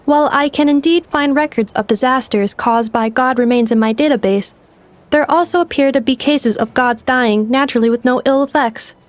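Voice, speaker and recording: A woman's voice: high-pitched, normal speed, natural voice. A woman voicing a young, polite, analytical robot companion in a video game, precise and formal. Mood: inquisitive, composed. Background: faint music.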